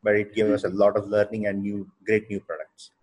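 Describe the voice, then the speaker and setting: A man with an Indian English accent, a company co-founder answering interview questions thoughtfully, with many pauses and fillers. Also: fairly low pitch, completely flat delivery, darkish tone, slightly rough, poor audio. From Odisha, India.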